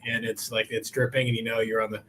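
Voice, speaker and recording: A man's voice: on the low side, flat delivery, fast talker, fairly bright tone, slight background noise. A man in his forties hosting a coaching podcast, talking in an emphatic, motivational way. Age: 40s. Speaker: podcast host.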